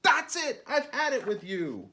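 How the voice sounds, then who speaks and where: A man's voice: very high-pitched, huge pitch swings, unhurried, sharp tone, pitched above the performer's own voice, full character voice. A man playing an exasperated storybook author scolding his unruly creatures, indignant and blustering. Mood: fed up.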